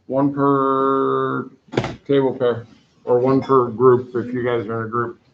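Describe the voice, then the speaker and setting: A man teaching a math class, giving loud, direct, brisk instructions to his students. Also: medium pitch, flat delivery, vocal fry, noisy background. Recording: echoey classroom, dull lo-fi sound.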